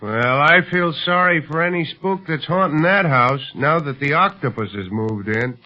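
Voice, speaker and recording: An actor playing a grumbling, wisecracking American pilot sidekick, slangy and blustery, in a 1930s radio serial. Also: male voice, on the high side, lively intonation, moderate pace, lightly acted. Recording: muffled, lo-fi 1930s radio recording.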